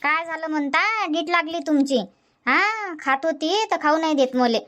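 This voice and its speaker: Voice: very high-pitched, normal speed, pushed and bright. A voice actor voicing a fussy older village woman with a stomach ache, speaking in a dubbed cartoon comedy, grumbling and self-pitying. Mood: accusing.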